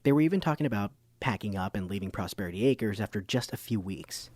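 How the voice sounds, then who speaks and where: A man acts an inquisitive radio documentary host in a parody of public radio. The voice is fairly low with lively intonation, measured and agreeable. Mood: wry narration.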